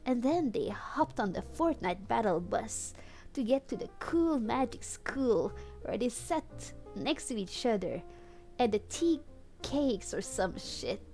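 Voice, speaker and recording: A woman's voice: a little high, big pitch swings, slow, darkish tone, soft background music, poor audio. A woman streamer reading fan fiction aloud in a casual, comedic way, easily cracked up and commenting with amusement as she goes. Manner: giggly, easily cracked up, acts out dialogue.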